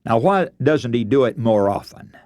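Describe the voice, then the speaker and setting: A man's voice: medium pitch, animated, moderate pace, darkish tone, no room sound. A man preaching a radio sermon, earnest and emphatic, telling stories and posing rhetorical questions.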